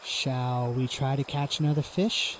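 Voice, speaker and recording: A man playing a gallant young knight in a fantasy audiodrama, protective and gently teasing, with a soft but firm voice. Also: mid-range voice, fairly even intonation, unhurried, a little hiss or hum, lightly acted, poor audio. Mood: smug, teasing.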